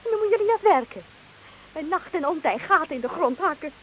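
An actress playing an exasperated, scolding village wife in a radio play, exclaiming and reproachful. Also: female voice, very high-pitched, animated, quick pace, fairly bright tone. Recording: muffled, hissy old radio recording.

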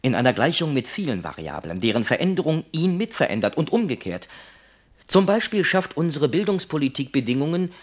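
An actor playing a detached, lecturing factory psychologist in a radio play, analytical, pedantic and wryly sceptical. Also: male voice, fairly high pitch, very animated, fairly quick. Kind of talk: German radio play acting.

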